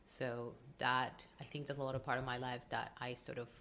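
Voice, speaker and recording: Low-voiced Colombian woman, open and emotional. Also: little pitch movement, moderate pace, fairly bright tone. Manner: open and emotional, frequent ums and laughs. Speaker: podcast guest, breathwork coach. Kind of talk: casual interview chat.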